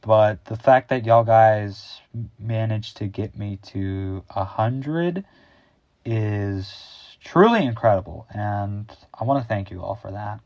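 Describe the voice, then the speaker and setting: A deep, bass-heavy teenage boy talks off the cuff, wandering and a bit awkward, in an unhurried drawl. The recording is muffled and dry, as if from a cheap mic in a small bedroom. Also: darkish tone, dry recording. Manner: rambling, improvised, a little awkward. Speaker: YouTube host. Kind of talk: casual unscripted chat.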